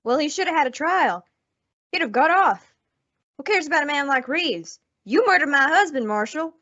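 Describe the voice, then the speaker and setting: A woman's voice: high voice, lively intonation, normal speed, fairly bright tone. A woman playing a bitter, vengeful rancher's wife in an old-time radio western, blunt and accusatory in folksy frontier speech. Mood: shocked, accusing. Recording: dull lo-fi radio drama recording.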